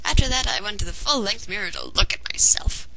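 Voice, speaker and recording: A woman's voice: mid-range voice, sing-song, fairly quick, creaky, sharp tone. A woman reading a fan fiction story aloud as narrator, in a dramatized way. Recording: noisy amateur home recording.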